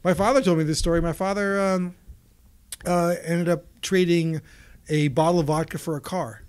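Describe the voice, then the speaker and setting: A man's voice: fairly high pitch, fairly even intonation, a little mellow, clear, resonant tone. A man in his forties, a native Russian speaker who grew up in the Soviet Union, telling stories as a podcast guest with many restarts and trailing asides. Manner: rambling, halting storyteller with many restarts. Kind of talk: casual conversation.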